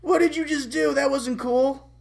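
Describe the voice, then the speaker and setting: A woman's voice: sits high, steady tone, normal speed, gravelly, darkish tone, voice pushed up. A woman voicing a small, scolding shoulder angel who pleads impatiently in a cartoon-style story. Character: scolding little shoulder angel, moral but impatient boy.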